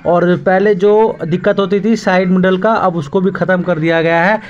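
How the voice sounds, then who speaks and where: A man's voice: high-pitched, steady tone, moderate pace. A man in his twenties talking casually to viewers as a travel vlogger, explaining things as he goes. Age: 20s. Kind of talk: casual Hindi vlog narration to viewers. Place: outdoors, railway platforms and inside trains. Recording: muffled phone audio, loud station and vehicle noise.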